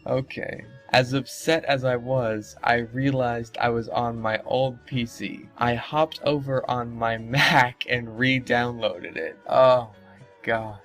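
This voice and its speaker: A man with a medium-pitched voice reads aloud over faint music. He keeps stepping out of the text to comment in an off-the-cuff, sarcastic way, sounding incredulous and swearing freely. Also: fairly even intonation, takes it slowly, few pauses, a bit bright. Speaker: host reading and mocking internet horror stories.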